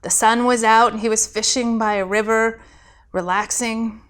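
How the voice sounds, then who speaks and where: A woman's voice: on the high side, fairly even intonation, moderate pace, fairly bright tone. A woman reading a scripted story expressively, as a pastor telling a tale.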